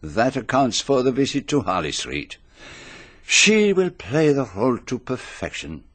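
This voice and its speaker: An actor playing a shrewd, courtly detective with a Belgian French accent, precise and formal, in a radio drama. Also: male voice, medium pitch, big pitch swings, unhurried. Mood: amused, musing.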